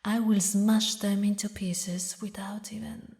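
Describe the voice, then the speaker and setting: A woman voicing a haughty, mocking demon queen who mourns a lost lover, speaking in slow, theatrical, regal phrases for an audio roleplay. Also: medium pitch, little pitch movement, creaky, darkish tone. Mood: mocking, menacing. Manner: slow, taunting, regal, with dramatic pauses. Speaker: villainous ruler addressing a knight.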